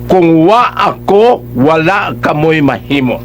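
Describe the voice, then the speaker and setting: A man's voice: on the high side, animated, takes it slowly, soft background music. A man with a Cebuano accent giving conversational, emphatic advice as a radio host.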